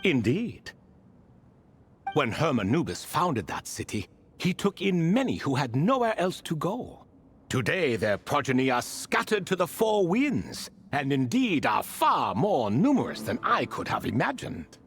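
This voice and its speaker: A man's voice: fairly high pitch, wildly swooping pitch, measured pace, slight background noise, heavily acted. An actor playing an ancient ibis king spirit in a video game, courteous and wistful, with a theatrical, leisurely storytelling manner. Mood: genial, reassuring.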